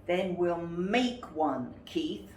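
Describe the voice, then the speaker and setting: A woman's voice: lowish voice, animated, drawn-out words, darkish tone. A woman voicing a bossy female hippo with big dreams, declaiming grandly in a storybook reading. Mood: determined. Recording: roomy, distant, noisy recording.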